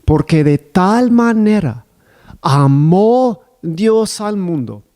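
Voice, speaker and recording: Slow-paced non-native Spanish-speaking preacher man. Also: animated, dark tone. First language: not Spanish. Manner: raises pitch in a preaching tone when reading scripture. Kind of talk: sermon.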